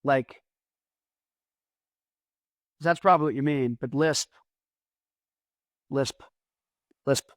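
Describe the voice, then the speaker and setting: A man's voice: medium pitch, drawn-out words, long pauses, no echo. A man hosting a podcast in a rambling, casual solo monologue. He mumbles his words and lets his S sounds drag out. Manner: rambling, self-deprecating. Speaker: podcast host.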